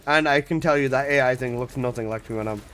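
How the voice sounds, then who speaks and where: A man in his twenties, casually chatting on a live game stream, reading out chat messages and protesting loudly, with casual swearing. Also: medium pitch, fast, clear, resonant tone. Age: 20s.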